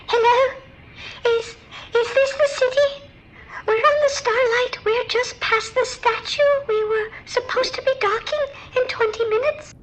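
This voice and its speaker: An actress playing a frightened stranded passenger calling for help in an audio drama, shaky, hesitant and alarmed. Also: female voice, very high-pitched, steady tone, unhurried, fairly bright tone. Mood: tearful. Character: frightened, stranded female cruise ship passenger calling emergency dispatch. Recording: noisy emergency call with ambience.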